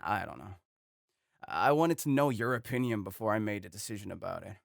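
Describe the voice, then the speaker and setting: A man voicing a big, docile young wolf man, speaking low and hesitantly. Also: expressive, brisk, vocal fry, dry recording, clean studio sound.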